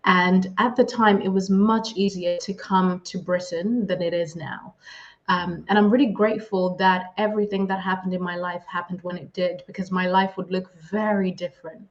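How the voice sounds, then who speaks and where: A woman's voice: on the low side, steady tone, moderate pace, highs cut off. A woman from Zimbabwe whose first language is Shona, talking as a podcast guest in an earnest, reflective way. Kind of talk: podcast interview.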